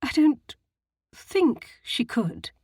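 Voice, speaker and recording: A woman's voice: mid-range voice, moderate pace, darkish tone, breathy edge, no echo, studio quality, voice pushed up, lightly acted. A woman doing a heartbroken young society lady. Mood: upset. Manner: quaking, tearful, pleading.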